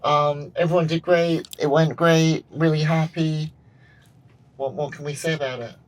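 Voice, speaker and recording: A man's voice: on the high side, steady tone, a little slow, rough recording. A man chatting casually and enthusiastically as a vlog host, often repeating himself. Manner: upbeat, repetitive, enthusiastic. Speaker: host, film director. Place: out and about after packing up car.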